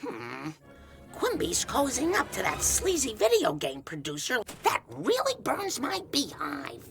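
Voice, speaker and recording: A woman voicing a fussy middle-aged cartoon housewife with a gravelly voice. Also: mid-range voice, huge pitch swings, unhurried, exaggerated voice. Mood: excited. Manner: prim, fussy, rallying. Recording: game cutscene with loud music.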